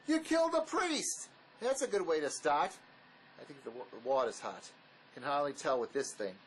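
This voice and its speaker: A man's voice: a little high, animated, normal speed, slight background noise, lightly acted, poor audio. A man playing a desperate, pragmatic fugitive ex-convict in an audio drama, terse and dismissive, bossy as he talks his partner round. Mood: defensive, irritated.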